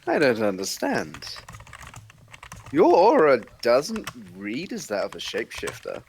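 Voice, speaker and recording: A man's voice: fairly high pitch, huge pitch swings, a little slow, vocal fry, slight background noise, exaggerated voice. A man playing an ancient, regal fairy king in a table read, imperious and formal. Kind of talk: scripted drama table read.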